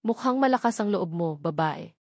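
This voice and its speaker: A woman's voice: lowish voice, animated, normal speed, no echo, clean studio sound. Woman voicing an arrogant, menacing crime boss. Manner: hard, taunting.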